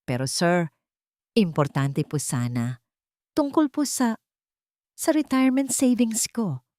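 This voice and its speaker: A woman's voice: mid-range voice, slow, dry recording, studio quality, natural voice. Woman acting a humble, trembling old foreman. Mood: timid, respectful. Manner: soft, respectful, slightly trembling. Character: humble, pleading elderly male construction foreman.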